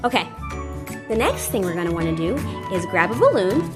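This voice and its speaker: A woman hosting an interactive children's show, talking directly to young viewers in an exaggerated, animated manner. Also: medium pitch, fairly quick. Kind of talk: interactive children's read-aloud and science show. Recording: echoey room with music. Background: music.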